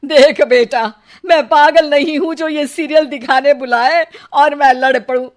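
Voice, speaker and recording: A woman voicing a quarrelsome, scornful old mother-in-law in a cartoon, with a scolding, sharp-tongued manner. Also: high voice, fairly even intonation, unhurried, voice pushed down. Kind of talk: exaggerated Hindi cartoon family drama.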